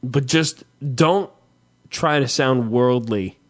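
A man's voice: medium pitch, takes it slowly. A man chatting casually, swearing freely and ranting, his voice climbing when he gets worked up. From New Jersey. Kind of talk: casual podcast chat.

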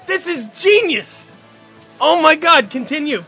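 High-voiced, profane radio cohost. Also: male voice, very animated, measured pace, over loud music. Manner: loud, profane, laughing outbursts. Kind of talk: crude, excitable banter.

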